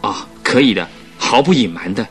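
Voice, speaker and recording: An actor in a radio drama playing a vain young English aristocrat, affected and pompous but good-natured underneath. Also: male voice, lowish voice, expressive, moderate pace, a bit bright, soft background music. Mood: earnest, pompous. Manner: affected, pompous, easily flustered. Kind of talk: Chinese radio drama acting.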